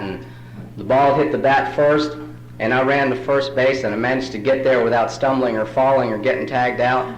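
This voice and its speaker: A man's voice: medium pitch, a little hiss or hum. A man from New York City, whose first language is Spanish, telling folksy jokes and self-deprecating stories as a speaker at a recovery meeting. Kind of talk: storytelling talk at a recovery meeting. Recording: roomy hall recording.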